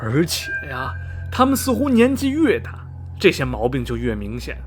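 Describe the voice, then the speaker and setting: A man's voice: mid-range voice, wildly swooping pitch, normal speed, faint music behind. An actor playing a gossipy middle-aged small-town man in a radio drama, skeptical yet repelled, sighing and drawing out his phrases dramatically. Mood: disgusted, ominous. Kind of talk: radio drama acting, animated storytelling.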